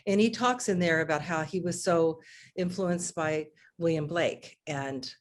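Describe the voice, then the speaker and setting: A middle-aged woman, a singer, talking casually on a video call from her living room, chatty and animated with frequent restarts. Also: low-pitched, a little slow, slight background noise. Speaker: singer and guest.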